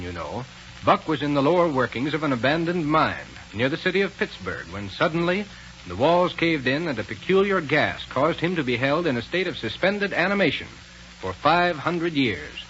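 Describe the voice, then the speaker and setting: A man's voice: fairly high pitch, a bit bright. Dramatic 1930s radio announcer, swooping male voice. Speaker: announcer and narrator. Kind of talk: 1930s radio announcing, scripted ad and story narration. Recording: muffled, noisy 1930s radio recording.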